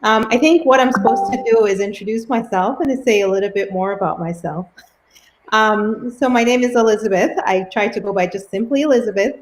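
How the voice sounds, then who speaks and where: A woman's voice: mid-range voice, brisk, rough recording. A woman in her forties from Cameroon, the new head of a school, introducing herself in a friendly way with frequent ums over a video call. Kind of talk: introductory welcome speech.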